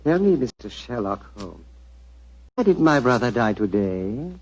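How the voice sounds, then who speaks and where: Suave, haughty royal, husky swooping voice. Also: male voice, medium pitch, very animated, full character voice. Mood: guarded. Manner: formal, measured, silky politeness. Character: suave, haughty, menacing Eastern royal heir. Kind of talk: 1940s radio drama acting. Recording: dull old 1940s radio recording.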